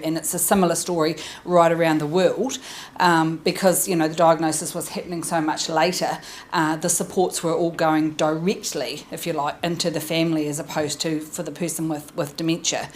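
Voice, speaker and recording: Chatty New Zealand woman, low voice. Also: slight background noise. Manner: chatty, self-deprecating and informal. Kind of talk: panel presentation. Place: conference room, audience present. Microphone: handheld mic.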